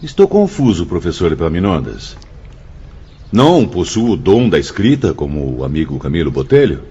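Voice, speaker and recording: Husky, humble, confused student. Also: male voice, deep voice, sing-song, moderate pace, mellow, lo-fi sound, heavily acted. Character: humble, confused male spirit student, anxious and respectful. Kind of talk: radio drama acting.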